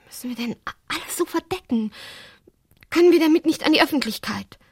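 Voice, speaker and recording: An actress playing a vulnerable young widow in a German radio drama, breathy and intimate, with a questioning, softly teasing manner. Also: female voice, sits high, expressive, brisk, no echo, clean studio sound. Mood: uneasy, wry.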